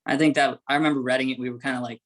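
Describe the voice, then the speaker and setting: A man's voice: mid-range voice, little pitch movement, rapid-fire, a bit bright. A man in a band talking casually in an interview, with lots of "like". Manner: peppered with "like". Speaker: band musician being interviewed.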